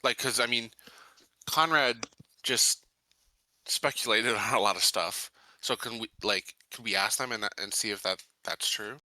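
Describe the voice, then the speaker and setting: A man's voice: on the low side, measured pace, pushed and bright, no echo, rough recording. A man playing a tabletop roleplaying game, casually and tentatively putting questions to the group.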